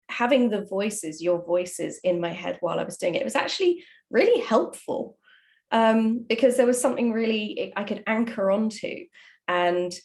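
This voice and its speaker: A woman's voice: on the low side, creaky. A woman speaking casually in a panel chat as a writer discussing the creative process. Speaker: audio drama writer.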